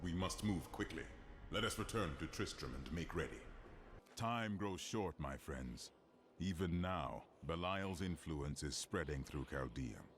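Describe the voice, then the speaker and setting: Deep, solemn fallen archangel. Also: male voice, animated, a little slow, faint music behind. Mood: grave, commanding. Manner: solemn, grave, formal. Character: solemn, noble male fallen archangel of justice. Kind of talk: dramatic video game voice acting. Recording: roomy echo, clear background noise.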